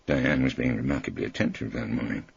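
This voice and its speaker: A man's voice: very deep voice, fairly even intonation, fast, gravelly, breathy edge, dry recording, dull audio. A man reading a wry first-person story as an audiobook narrator.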